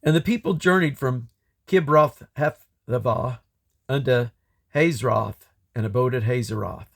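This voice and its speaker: A man reading scripture aloud in a solemn, deliberate way, breaking the text into measured phrases. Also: mid-range voice, creaky. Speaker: Bible reader. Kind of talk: scripture reading, King James English.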